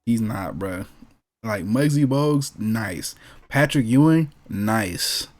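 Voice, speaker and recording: A man co-hosting a casual podcast chat, telling things in animated slang and repeating himself for emphasis. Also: on the low side, takes it slowly. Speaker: podcast co-host. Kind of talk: casual chat about movies and sports.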